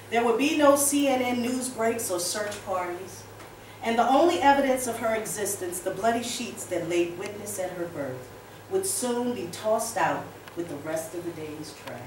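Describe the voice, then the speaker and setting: A woman giving a dramatic spoken-word reading, expressive, deliberate and emphatic, with pauses for weight. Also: medium pitch. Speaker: poet reading to a workshop audience. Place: roomy indoor space, recorded at a distance. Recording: echoey room from far off, background noise.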